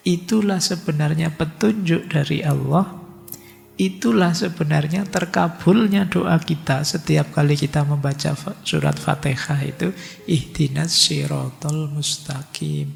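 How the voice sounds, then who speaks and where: Gravelly man lecturing in a hall. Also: on the high side, measured pace, faint music behind. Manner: chatty, mixes in Javanese words and acts out worried thoughts. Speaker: lecturer on philosophy and religion. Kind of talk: casual religious lecture.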